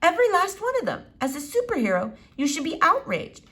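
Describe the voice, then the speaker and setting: A woman's voice: extremely high pitch, huge pitch swings, fairly quick, fairly bright tone, pitched above the performer's own voice, full character voice. A woman voicing an earnest, dramatic young girl in a children's storybook, speaking bluntly and emphatically. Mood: indignant. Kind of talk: children's novel read-aloud character voice.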